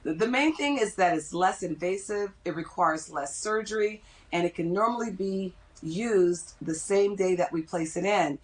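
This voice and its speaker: A middle-aged woman with a slightly low voice gives measured explanations as an expert guest. She sounds like a dentist being interviewed on television, laying out information step by step.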